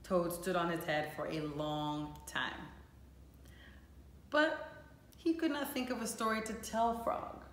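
A woman reads a children's story in an echoey room. She takes her time and lets her pitch rise and fall a lot, talking to young listeners like a teacher. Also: slow pace, pauses often, a little hiss or hum. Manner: expressive, addressing young children. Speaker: teacher reading a storybook aloud. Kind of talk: animated children's storybook read-aloud. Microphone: camera or phone a few feet away.

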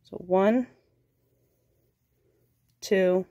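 A woman's voice: medium pitch, very slow, no room sound, muffled recording. Nasal woman with a cold teaching crochet. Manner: calm, patient, counts stitches aloud. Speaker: crochet instructor. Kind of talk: step-by-step craft tutorial.

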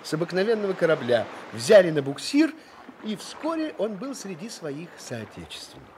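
An actor playing a playful, teasing storyteller in a children's radio play, addressing listeners and characters theatrically. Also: male voice, high voice, big pitch swings, moderate pace, a little mellow, slight background noise. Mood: dramatic storytelling. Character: playful, teasing male storyteller of an adventure tale. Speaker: narrator. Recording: 1970s studio radio play with music.

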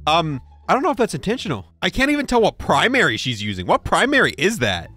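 A man's voice: sits high, huge pitch swings, fast, bright, forceful tone, dry recording, faint music behind. A man giving casual, chatty, opinionated video game commentary, repeating himself for emphasis. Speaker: gaming YouTuber reviewing game content.

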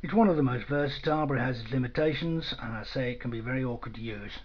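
A man's voice: mid-range voice, fast talker. A man gives an informal hands-on demonstration in a matter-of-fact, dry, understated way, recorded indoors at a workbench on a camera microphone. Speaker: product demonstrator. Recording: dull lo-fi sound with clear noise.

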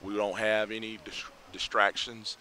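A middle-aged man, a head football coach giving a measured, reflective postgame interview outdoors at night on a headset mic. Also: lowish voice, flat delivery, sharp tone, a little hiss or hum. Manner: measured, reflective, many fillers. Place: outdoors by a school building at night.

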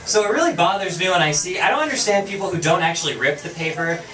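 A fairly high-voiced young man works a casual stand-up set, slipping into quick little character asides. He is picked up from across an echoey bar room. A lively crowd laughs around him. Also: distant mic. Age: young adult. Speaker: stand-up comedian. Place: small bar stage with audience. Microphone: handheld mic, far camera.

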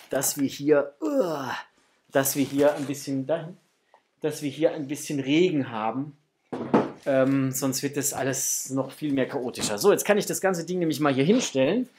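A man's voice: a little high, darkish tone, a little hiss or hum. A man in his thirties or forties chatting casually and affectionately to viewers as the host of an unboxing vlog. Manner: effusive, affectionate toward viewers. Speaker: host of a toy unboxing channel. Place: small indoor home room.

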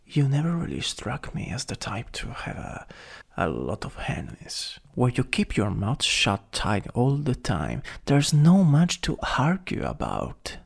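A jealous, possessive supervillain from an ASMR roleplay , acted by a man, speaks with an Italian accent in a slow, teasing drawl. His creaky voice swings widely in pitch as he taunts, and it slips at times into a whisper. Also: huge pitch swings, exaggerated voice. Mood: mocking.

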